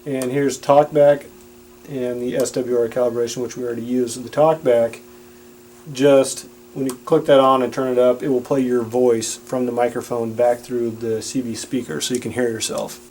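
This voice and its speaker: A man's voice: medium pitch, flat delivery, moderate pace, a little mellow, slight background noise, rough recording. A man giving a casual, plain-spoken and explanatory product demonstration.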